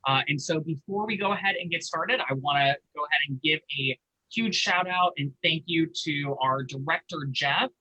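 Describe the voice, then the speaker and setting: A man's voice: a little high, normal speed, bright, forceful tone, dull audio. A man hosting and introducing an online event to its audience. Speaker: host.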